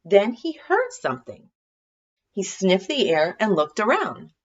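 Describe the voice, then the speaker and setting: A woman reading a children's story aloud in expressive scripted narration. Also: medium pitch, measured pace, muffled recording. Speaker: storybook reader.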